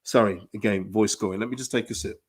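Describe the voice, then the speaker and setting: A man, hoarse from a dry throat, giving emphatic live-stream commentary on his football club, full of restarts and repetition. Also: fairly low pitch, brisk. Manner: animated, emphatic, repeats phrases, frequent restarts. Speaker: football fan channel host. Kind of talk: live-stream fan commentary, reading chat.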